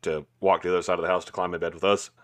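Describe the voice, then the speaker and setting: A man's voice: low voice, little pitch movement, very fast, fairly bright tone. A man in his twenties, co-hosting a podcast in casual chat, laid-back and swearing a lot. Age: 20s. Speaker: podcast co-host.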